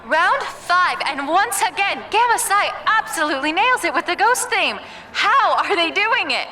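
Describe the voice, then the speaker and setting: Woman acting as peppy sports-style co-commentator, high-pitched, very animated, wry, faint music bed. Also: measured pace, exaggerated voice. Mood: wry, impressed. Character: peppy female live-event co-commentator, wryly impressed.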